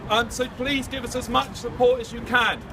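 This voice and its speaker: A man speaking as a union branch secretary, addressing an outdoor protest without a microphone in a loud, projecting, repetitive way. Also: very high-pitched, level tone, moderate pace, crowd noise. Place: outdoors at a college protest, street traffic nearby. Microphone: distant camera. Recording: distant voice with street noise. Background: traffic and street ambience.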